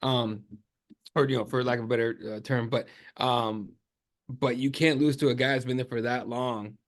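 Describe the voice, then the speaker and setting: A man speaking English as a second language, talking sports in an animated way, with lots of fillers and restarts as he thinks aloud. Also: medium pitch, moderate pace. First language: not English. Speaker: MMA journalist guest. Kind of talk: casual sports podcast chat.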